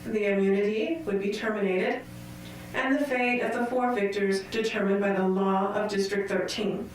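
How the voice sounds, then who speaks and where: Actress playing stern female leader, radio drama, very echoey hall, distant from mic, formal, deliberate, authoritative. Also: mid-range voice, fairly even intonation, natural voice. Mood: stern, official. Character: stern commanding woman president addressing a crowd.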